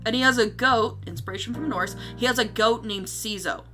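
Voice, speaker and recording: A woman's voice: a little high, a little fast, music in the background. A woman chatting casually as a livestream host, with a giggly, rambling manner and some casual swearing. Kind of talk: casual livestream chat about own story notes.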